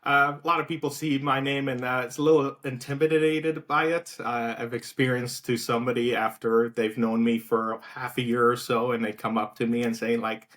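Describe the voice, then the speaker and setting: A man's voice: medium pitch, moderate pace, few pauses. Casual south african man chatting loosely as an interview guest. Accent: light South African. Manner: relaxed, many fillers and restarts. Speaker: guest, outreach director.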